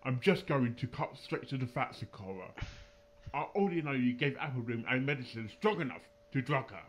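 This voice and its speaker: A man's voice: mid-range voice, expressive, a little fast, slight background noise, natural voice. A man playing a hard, menacing male pony doctor who interrogates suspects, in a dramatic fan fiction audio reading. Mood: irritated, pressing. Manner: stern, threatening interrogator.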